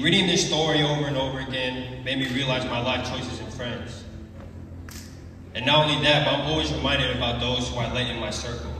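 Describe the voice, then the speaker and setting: A young man preaching a prepared sermon in an earnest, deliberate manner, speaking from a church pulpit into a handheld microphone. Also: medium pitch, noisy background. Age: young adult. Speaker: preacher giving a short sermon. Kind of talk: sermon, prepared and read from notes. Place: church sanctuary, at a wooden pulpit. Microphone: handheld microphone through the hall sound system. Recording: very echoey church hall, far from mic.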